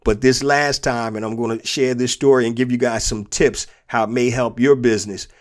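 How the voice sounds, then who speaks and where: A man's voice: mid-range voice, fairly even intonation, a little fast. Congested man hosting a motivational podcast. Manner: earnest, repeats key points for emphasis. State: stuffy nose and sore throat from a cold. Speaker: podcast host and business coach.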